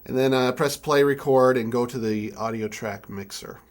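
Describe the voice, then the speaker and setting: A young adult man giving an informal hands-on tutorial, speaking haltingly as he thinks aloud while working. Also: mid-range voice, steady tone. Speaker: music keyboard tutorial presenter. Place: indoor room with draped backdrop.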